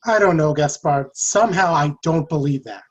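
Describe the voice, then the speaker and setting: A man playing a loyal, devout medieval French soldier in a play reading, earnest and formal with a husky voice. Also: fairly high pitch, moderate pace. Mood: earnest faith. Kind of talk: stage play table read.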